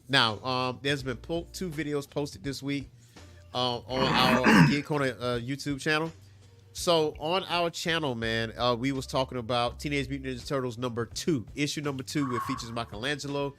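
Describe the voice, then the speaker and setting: Bright-voiced stuffy-nosed man co-hosting. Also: medium pitch. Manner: laid-back, rambling, laughs easily. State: stuffy nose from allergies. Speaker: podcast co-host. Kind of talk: casual chat.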